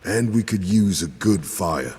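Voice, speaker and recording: Guy as calm wary warrior sidekick, low voice, slow, dry n understated, fantasy audio play. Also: very noisy. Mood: dryly amused. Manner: measured, understated. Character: steady, cautious, dry-humoured male warrior companion. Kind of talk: fantasy audio drama acting. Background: faint music.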